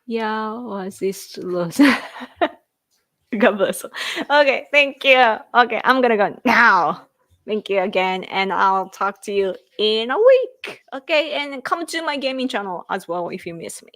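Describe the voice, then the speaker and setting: Japanese woman in her thirties hosting a livestream, unhurried delivery, dry close sound, giggly and chatty. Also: on the high side, lively intonation, a bit bright, no room sound. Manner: rambling, laughs often, thanks viewers repeatedly. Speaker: livestream host. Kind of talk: casual livestream chat reading viewer comments.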